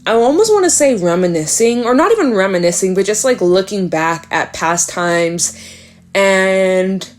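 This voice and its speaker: A woman's voice: medium pitch, expressive, moderate pace. A young woman with a stuffed-up nose, reading tarot cards casually to her viewers, chatty and rambling with lots of fillers. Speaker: tarot reader.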